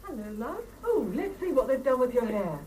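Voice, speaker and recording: Chatty workmate, wildly swooping voice. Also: female voice, high voice, sing-song, moderate pace, mellow. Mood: friendly. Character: chatty, friendly female workmate, reassuring and gushing. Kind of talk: 1970s British radio drama acting. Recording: distant, noisy 1970s radio cassette.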